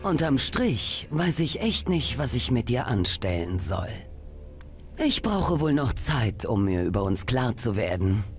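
Blunt, expressive grudging comrade. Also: male voice, medium pitch, a little slow, faint music behind. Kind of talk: dramatic video game acting.

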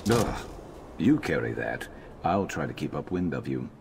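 Very deep, gruff reptilian mercenary. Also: male voice, normal speed, noisy background, full character voice. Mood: dry, wary. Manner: dry, deadpan. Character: gruff, wry, hardened male reptilian mercenary companion.

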